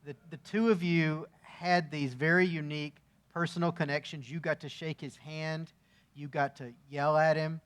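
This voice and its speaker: A male museum interviewer with a fairly high voice guides the conversation. He speaks in a measured way and stumbles over a few restarts. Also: flat delivery, a bit bright. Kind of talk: interview questions.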